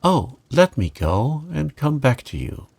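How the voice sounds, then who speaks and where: A man's voice: medium pitch, very animated, a little slow, creaky, a little mellow, slightly rough, dry recording, voice pushed up, full character voice. A man voicing a lonely, loving young boy in a storybook, speaking with earnest pleading. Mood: torn, urgent. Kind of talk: storybook character reading.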